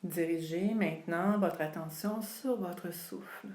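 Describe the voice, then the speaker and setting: Very slow, low-voiced woman guiding relaxation. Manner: calm, unhurried, soothing delivery with long pauses. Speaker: yoga nidra instructor. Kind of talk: guided relaxation meditation. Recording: roomy, echoing sound.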